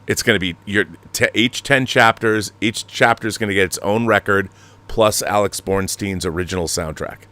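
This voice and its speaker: A male host with a fairly low but bright, punchy voice. He chats informally and emphatically, wandering off into side points and pitches in a conversational way. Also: normal speed. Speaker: talk show host. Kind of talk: casual chat with promotional pitches.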